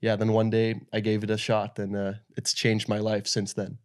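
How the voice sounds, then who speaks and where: A young man from Denmark, a guest in an interview, telling his story in a relaxed, loose way with lots of fillers. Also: on the low side, normal speed. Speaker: guest, pro paddle surfer. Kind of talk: casual interview talk.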